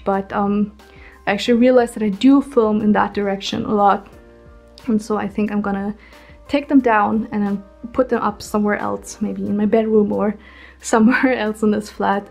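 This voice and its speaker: A young woman in her twenties, chatting casually and rambling with many ums as she tours her home art workspace, her voice a bit hoarse from being ill. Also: medium pitch, darkish tone. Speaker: artist vlogger. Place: small room at home.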